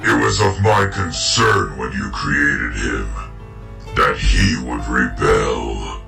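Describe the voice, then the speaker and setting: A man's voice: rumbling low, takes it slowly, gravelly, pushed and bright, full character voice. A man voicing a brooding, menacing masked villain creature in a grave, formal manner for a comic dub. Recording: roomy echo, music underneath. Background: background music.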